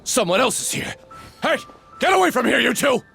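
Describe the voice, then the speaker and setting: A man's voice: extremely high pitch, big pitch swings, a little fast, creaky, pushed and bright, faint music behind, exaggerated voice. A man playing an eager junior sword student, theatrical and deferential, in dramatic anime-style video game acting. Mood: suspicious.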